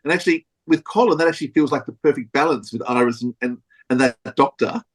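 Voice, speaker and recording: A man's voice: medium pitch, fast talker. A man hosting a casual podcast interview, asking friendly questions in a slightly hesitant way.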